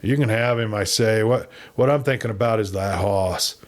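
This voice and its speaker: A man playing a gruff, yearning cowboy outlaw. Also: moderate pace, natural voice. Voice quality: bass, growling.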